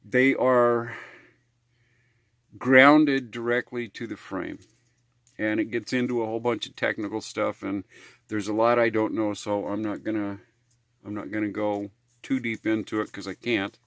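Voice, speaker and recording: A man's voice: lowish voice, fairly even intonation, a little slow, fairly bright tone, no room sound, clean studio sound. An American man vlogging in a casual, unscripted way, talking in a folksy, rambling manner with homespun slang. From United States. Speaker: vlogger on RV living and repairs. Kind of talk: casual unscripted vlog talk.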